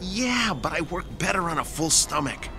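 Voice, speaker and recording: A man's voice: fairly high pitch, sing-song, normal speed, vocal fry, bright, forceful tone, slight background noise, exaggerated voice. An actor playing a hungry, grumbling male mechanic sidekick with a whiny, joking way of talking in a radio drama. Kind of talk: 1960s-style radio drama acting.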